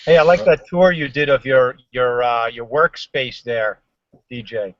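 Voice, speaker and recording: A male guest talks in an easygoing, slightly apologetic way and laughs along with the others. His mid-pitched voice sounds dull and lo-fi through the video call. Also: unhurried. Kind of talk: casual chat on a video call.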